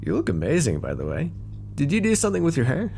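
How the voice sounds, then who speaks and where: A man's voice: on the high side, wildly swooping pitch, fast talker, vocal fry, warm tone, a little hiss or hum, heavily acted. A man playing a cocky, teasing mafia boss with a theatrical, flirty drawl in an ASMR roleplay. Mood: flirtatious, teasing.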